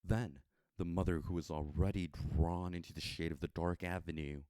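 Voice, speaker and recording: An American man reading a literary story in a measured, solemn manner. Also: sits low, steady tone, darkish tone, no room sound. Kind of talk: scripted storybook narration.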